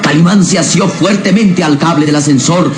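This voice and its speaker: A man's voice: a little high, moderate pace. A man narrating a scripted radio serial in a theatrical, suspenseful way. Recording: radio serial with music behind.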